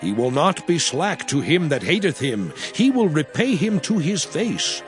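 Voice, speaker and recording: A man reads ancient scripture aloud with grave, emphatic weight, taking his time over every phrase. His voice sits a little high and sweeps widely in pitch, with soft music underneath. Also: sing-song. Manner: slow, grave, emphatic declamation. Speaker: narrator. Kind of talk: dramatized King James scripture reading.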